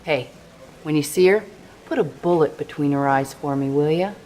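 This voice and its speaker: A woman's voice: low-pitched, normal speed, mellow, lightly acted, poor audio. A tough young female rebel voiced by an actress in a video game cutscene. She is curt and casual, with a hard-bitten edge. Faint noise and clicks sit behind the voice. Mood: terse, hard. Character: tough, curt female resistance tech fighter. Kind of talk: scripted game cutscene acting.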